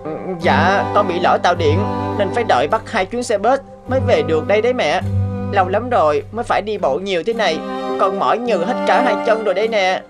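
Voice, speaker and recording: A woman's voice: mid-range voice, a little fast, big-room sound, over loud music, natural voice. A woman voicing a petulant, resentful schoolboy in a cartoon, with a boyish manner. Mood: weary, sulky. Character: sulky, resentful schoolboy older brother. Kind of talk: Vietnamese animated moral tale dubbing.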